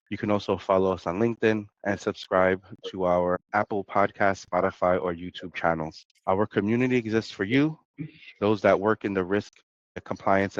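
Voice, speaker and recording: Nasal man hosting a podcast, low voice. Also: steady tone, normal speed, dry recording, dull audio. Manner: conversational, some filler and restarts. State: has a cold, nasal. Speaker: podcast host. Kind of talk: podcast interview.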